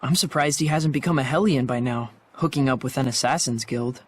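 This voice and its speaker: A man's voice: mid-range voice, brisk, breathy edge, natural voice. An actor playing a skeptical, wry but loyal young male companion in a video game, speaking articulately. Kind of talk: English video game dub acting.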